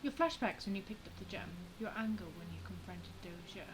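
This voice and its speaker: A woman streaming a game with a mid-pitched voice. She comments casually and reads out dialogue choices, with loud noise and clicks behind her. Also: expressive, a little fast. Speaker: gaming streamer.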